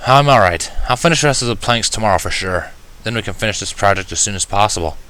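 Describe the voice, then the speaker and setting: A man's voice: on the low side, animated, quick pace, bright, forceful tone, clear, resonant tone. A man playing a weary, stubborn male pony in a storybook reading, earnest and assuring. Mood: tired, assuring.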